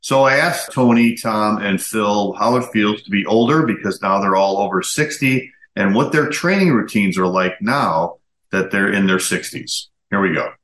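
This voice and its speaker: A man's voice: on the low side, moderate pace, fairly bright tone. A man in his fifties presenting a podcast in a conversational way, introducing guests and asking them questions. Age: 50s. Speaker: podcast host. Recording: echoey room.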